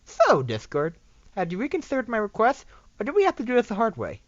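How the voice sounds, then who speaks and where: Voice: mid-range voice, wildly swooping pitch, fairly quick, no room sound, highs cut off, pitched above the performer's own voice. A narrator voicing a mischievous, maniacal pony princess who breaks into devious chuckles in an amateur story reading. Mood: menacing.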